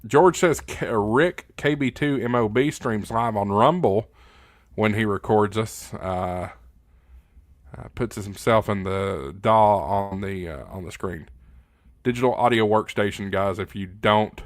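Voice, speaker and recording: A man's voice: fairly low pitch, measured pace, dry recording, studio quality. A man hosting a casual live Q&A, reading out viewer comments and answering in a folksy, plain-spoken way. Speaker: livestream host.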